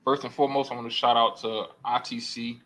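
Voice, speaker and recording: Earnest rambling man, bright tone. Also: mid-range voice, little pitch movement, a little fast, poor audio. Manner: rambling, self-correcting, earnest. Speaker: podcast guest. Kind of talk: casual podcast chat.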